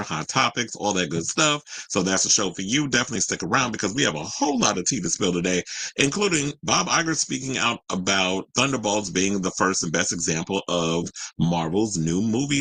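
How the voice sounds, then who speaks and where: A man with a fairly low, husky voice talks as the host of a pop-culture stream. His delivery is informal and gossipy, wandering into asides, and the sound is close and dry. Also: a bit bright, no echo, poor audio. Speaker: host of a celebrity news live stream. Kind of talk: casual chatty pop-culture commentary.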